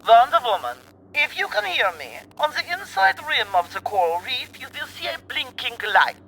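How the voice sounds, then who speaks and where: A woman's voice: high voice, very animated, normal speed, a bit bright, a little hiss or hum, exaggerated voice, low-quality recording. A woman playing a haughty, short-tempered villainess queen in a comedy radio play. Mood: menacing, commanding. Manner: imperious, exasperated, theatrical.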